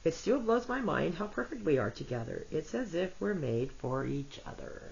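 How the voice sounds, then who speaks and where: A woman with a congested, rough voice gives a chatty tarot reading, talking to her cards and her viewers. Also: low voice, sing-song, normal speed, darkish tone. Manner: chatty, self-deprecating, talks to cards and viewers. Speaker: tarot reader. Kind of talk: casual solo tarot reading. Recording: dull lo-fi audio with faint noise.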